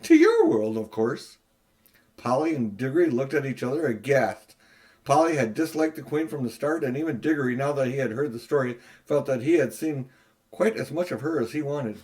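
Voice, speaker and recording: A man's voice: medium pitch, fairly quick, a little mellow. Older man reading a storybook. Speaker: audiobook narrator. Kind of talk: reading a novel aloud.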